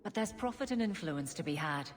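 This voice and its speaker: A woman's voice: on the low side, animated, fairly quick, slight background noise, close to an everyday voice. An actress playing a stern, practical city guardswoman in a fantasy game, blunt and businesslike. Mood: resolute. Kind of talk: fantasy video game acting. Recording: long reverb, loud music behind.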